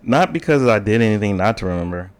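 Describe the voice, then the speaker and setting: A man's voice: on the low side, animated, quick pace, clear, resonant tone. A young adult man co-hosting a podcast, talking in a laid-back, rambling way with dry humor. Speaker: podcast co-host. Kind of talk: casual chat.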